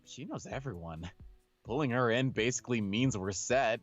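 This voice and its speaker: A man voicing a confident, easygoing male high school senior, the protagonist of a visual novel. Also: animated, moderate pace, highs cut off, close to an everyday voice. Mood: teasing, curious. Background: background game music.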